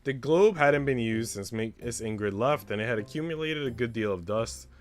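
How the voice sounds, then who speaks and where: A man livestreaming, chatting casually with slangy, sweary talk and reading a visual novel aloud, with music softly behind him. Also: mid-range voice, animated, moderate pace. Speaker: live streamer.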